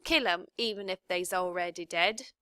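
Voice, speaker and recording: A woman voicing a slow, dim-witted serving girl who drawls her words coarsely. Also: lowish voice, sharp tone, clear, resonant tone, dry recording, clean studio sound. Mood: dull.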